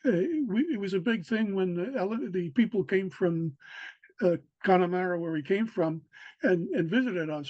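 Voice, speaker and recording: Older New man, halting speech. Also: high-pitched, no echo. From New York City. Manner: halting, many fillers and restarts. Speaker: interviewee, local historian. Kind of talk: casual recorded interview. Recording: dry, dull lo-fi sound.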